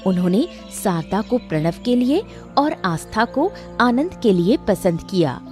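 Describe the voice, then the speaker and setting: A woman narrates a scripted story at an unhurried pace. Her medium-pitched voice moves with animated intonation, and music plays behind it.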